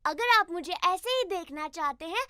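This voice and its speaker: Squeaky, bright cartoon girl. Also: animated, moderate pace, no echo, clean studio sound, full character voice. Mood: cheerful. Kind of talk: scripted channel outro.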